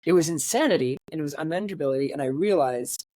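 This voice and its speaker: A man in his late twenties, a podcast guest talking candidly in a reflective, halting way with many fillers and restarts. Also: fairly high pitch, lively intonation, a little mellow, no echo. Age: 20s. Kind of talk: candid conversational interview.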